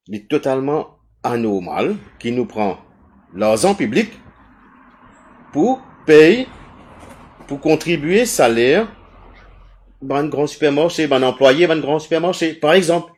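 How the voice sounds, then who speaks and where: A man with a Mauritian accent speaking on an online panel, earnest, his pace shifting between quick and slow. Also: a little high, lively intonation, a little mellow. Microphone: video call.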